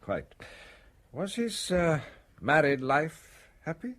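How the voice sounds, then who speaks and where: An actor playing a courteous, probing English police inspector in a radio drama, measured and politely hesitant. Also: male voice, a little high, sing-song, a bit bright, full character voice.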